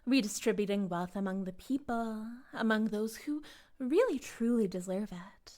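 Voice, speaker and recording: A woman playing a possessive, self-righteous cult leader in an intimate audio roleplay, cooing and condescending, sometimes breathy, speaking directly to the listener. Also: mid-range voice, lively intonation, moderate pace, a little mellow, lightly acted. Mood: rapturous, self-satisfied. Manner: theatrical, cooing, condescending, drifting into breathy whispers.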